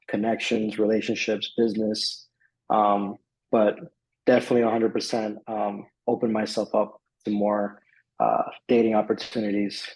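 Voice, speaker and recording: A man's voice: lowish voice, a little mellow, low-quality recording. A young man from Miami telling his story as a client in a casual interview. He rambles a little, with lots of fillers and restarts. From Miami, USA. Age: young adult. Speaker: client giving a testimonial.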